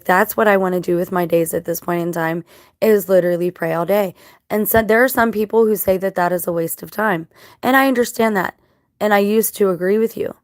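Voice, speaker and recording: A woman with a scratchy, dry-mouthed voice after crying, talking casually to viewers in a halting, reflective, earnest way. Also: mid-range voice, dry recording. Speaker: vlogger.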